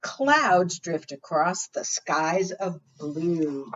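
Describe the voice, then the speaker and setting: A woman's voice: lowish voice, sing-song, very slow, a bit bright, a little hiss or hum. A woman leading a preschool storytime, reading aloud expressively and putting questions to her young listeners. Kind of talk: read-aloud for young children. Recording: dull lo-fi sound.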